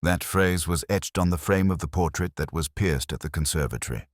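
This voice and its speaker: A man narrating a scripted detective story as an audiobook, with measured, literary delivery. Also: low-pitched, dry recording, studio quality.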